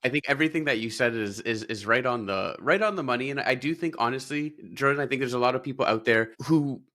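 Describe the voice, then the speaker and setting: A man's voice: mid-range voice, fast talker, fairly bright tone. Encouraging male podcast host. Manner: encouraging, frequent short affirmations. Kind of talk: casual interview chat.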